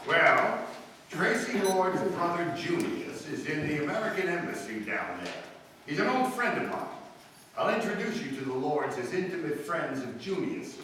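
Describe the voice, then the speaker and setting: A man playing a suave, dry, self-possessed society gentleman in an old-time radio drama. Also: a little high, big pitch swings, normal speed. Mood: coolly polite. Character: suave, cool male society ex-husband. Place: live stage performance. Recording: echoey hall, distant mic, faint noise.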